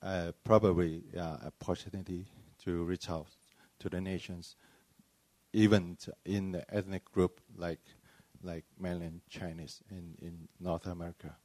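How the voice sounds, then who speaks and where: Pastor from Malaysia, male, deep-pitched, hesitant phrasing, giving testimony at a panel, dry recording. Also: little pitch movement, a little slow, a little mellow, no echo. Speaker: church planting pastor. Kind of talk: personal testimony at a panel discussion.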